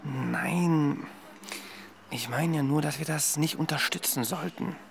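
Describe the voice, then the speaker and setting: A man's voice: a little high, takes it slowly, vocal fry, noisy background. An actor playing a grumbling, joking man in a naturalistic radio drama, with a sardonic, complaining manner. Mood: indignant, sardonic. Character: grumbling, joking male partner of an expectant woman.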